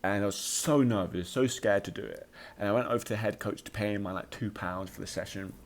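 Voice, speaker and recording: A man with a low-pitched voice talks informally and motivationally, as on a podcast. His speech has a slight occasional stutter, with repeated words and a halting flow, and he leans firmly on his key points. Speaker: podcast host.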